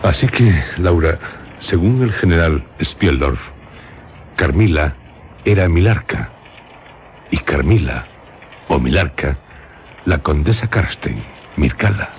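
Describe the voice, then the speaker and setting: A man hosting a radio story, speaking theatrically in deliberate, suspenseful short phrases. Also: very deep voice, expressive, slight background noise. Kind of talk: theatrical scripted radio presentation. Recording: loud music under the voice.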